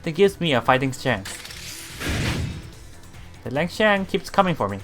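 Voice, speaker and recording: A streamer reading visual novel text aloud in a halting way, adding casual commentary over game music. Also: medium pitch, very animated. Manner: halting reading, often repeating broken words. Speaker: let's player streamer.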